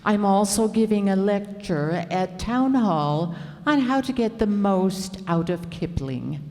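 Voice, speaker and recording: A woman's voice: medium pitch, lively intonation, slow, a little mellow. A woman playing an affected, self-important lady poet, gushing and condescending, in an old-time radio comedy. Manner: pompous, gushing. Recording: echoey, reverberant hall.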